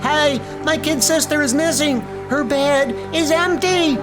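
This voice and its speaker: A man's voice: very high voice, a little slow, music in the background, voice pushed up. A man voicing frightened residents of a terrorized street in a comic dub, panicked and urgent.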